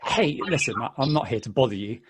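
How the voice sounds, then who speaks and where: A man plays a friendly male hiker in a stage play reading. His voice sits at a middle pitch. He is eager and courteous, chatting haltingly and with a chuckle, earnest about befriending whoever he meets. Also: animated, heavy background noise, close to an everyday voice. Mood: concerned, calling out. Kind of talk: stage play table read.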